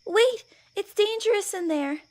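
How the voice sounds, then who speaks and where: A woman's voice: extremely high pitch, animated, unhurried, darkish tone. A woman voicing a timid, frightened little pony filly in a small, childlike voice, pleading and hesitant in a fantasy audio story. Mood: pleading, worried.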